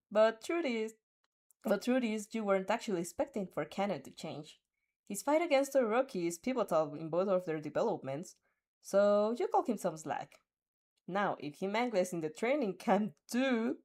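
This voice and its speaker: A woman's voice: medium pitch, big pitch swings, moderate pace, darkish tone. A young woman reading a fanfic aloud, often breaking off to laugh and react, stumbling and restarting as she goes.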